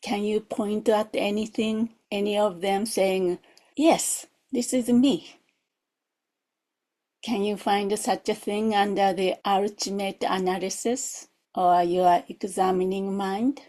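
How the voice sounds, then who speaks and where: A woman's voice: medium pitch, level tone, a little slow, hardly any pauses, a little mellow. A woman guides a meditation as a teacher, reflective and questioning, in short spaced phrases. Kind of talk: guided meditation, gentle instruction.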